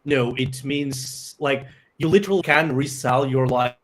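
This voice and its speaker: A man from Ukraine, a native Ukrainian speaker, answering interview questions about his company with many pauses and fillers. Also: medium pitch, a little hiss or hum. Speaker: software company founder.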